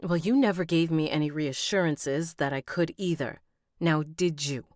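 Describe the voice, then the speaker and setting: A woman's voice: low-pitched, moderate pace, noisy background, pitched above the performer's own voice, close to an everyday voice. A woman voicing a furious young heroine held captive, who swears and is close to tears with anger. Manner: seething, swearing, near tears. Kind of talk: rehearsing an audiobook reading on a livestream.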